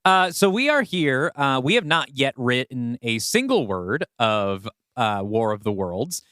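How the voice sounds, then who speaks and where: A man's voice: medium pitch, big pitch swings, unhurried, a bit bright, smooth, no echo, studio quality. A man co-hosting a podcast, talking through story plans in a casual, explanatory, conversational way. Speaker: podcast co-host. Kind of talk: casual story-planning discussion.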